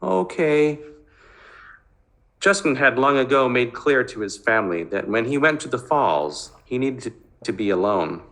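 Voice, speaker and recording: A man's voice: mid-range voice, animated, normal speed, a bit bright. A man narrating a novel in a dramatic, scripted reading style.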